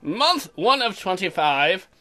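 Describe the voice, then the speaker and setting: A let's play host reads visual novel text aloud and cuts in with sarcastic, crude jokes. The voice is bright and animated, with soft music in the background. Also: mid-range voice, slow pace. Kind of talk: reading game text with joking commentary.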